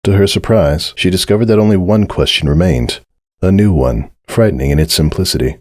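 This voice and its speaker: A man giving a dramatic scripted reading of a story as narrator, with measured, solemn and deliberate phrasing. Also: low voice, a little mellow, dry recording, clean studio sound.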